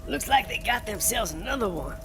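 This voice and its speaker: A woman voicing a ragged older man, wary and folksy, with a gravelly, breathy voice and rustic colloquial talk. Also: a little high, animated, fairly quick, music in the background, close to an everyday voice. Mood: wary, grumbling. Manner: rustic colloquial drawl with dropped grammar.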